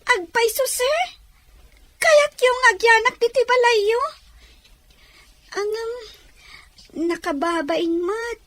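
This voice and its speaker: A woman's voice: extremely high pitch, slow pace, pauses often, fairly bright tone, no echo, full character voice. A woman playing a humble, polite young orphan girl in an audio drama. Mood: humble, grateful. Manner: polite, deferential. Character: humble, timid orphan girl begging at a market.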